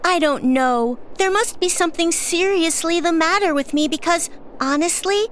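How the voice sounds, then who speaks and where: A woman's voice: very high-pitched, moderate pace, slight background noise. A woman playing a naive, sweetly curious teenage girl in a retro sci-fi audio parody. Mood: innocent, puzzled. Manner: guileless, wide-eyed questioning. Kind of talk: 1950s sci-fi parody acting.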